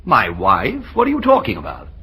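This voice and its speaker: A dapper, self-important middle-aged author in an old radio comedy. The voice is bright and fairly high, very animated in pitch. The old recording is noisy. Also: male voice, moderate pace, exaggerated voice. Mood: smooth, self-satisfied.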